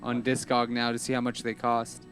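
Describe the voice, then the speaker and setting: A man's voice: mid-range voice, completely flat delivery, normal speed, a bit bright, soft background music. A man co-hosting a livestream, chatting casually about buying and selling things. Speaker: co-host.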